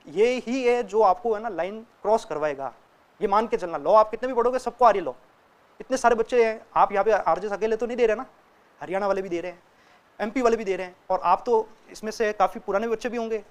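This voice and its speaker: A young man, a student, giving casual, direct advice to other students and often checking in with them as he talks. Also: very high-pitched. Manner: chatty and direct, keeps checking in with listeners. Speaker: student sharing exam tips.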